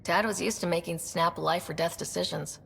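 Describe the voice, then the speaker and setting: Game lead: calm, supportive woman leader with dry wit, lowish creaky voice, natural conversational delivery. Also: moderate pace, long reverb tail, slight background noise. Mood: composed. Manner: conversational, understated. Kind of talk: video game voice acting.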